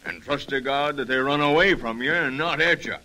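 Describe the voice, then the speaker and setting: Man playing an emphatic, forceful frontier station hand. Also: mid-range voice, a little fast, close to an everyday voice, rough recording. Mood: urgent warning. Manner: emphatic, folksy. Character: brisk, cautionary Old West relay station man. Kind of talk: 1930s radio acting. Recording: old 1930s radio recording.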